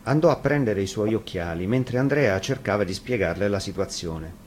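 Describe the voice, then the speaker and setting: A man with a fairly low voice tells a fairy tale from a script. He reads as a storyteller would on the radio. There is slight noise under the recording. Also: expressive, normal speed, darkish tone. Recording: faint noise, live radio broadcast.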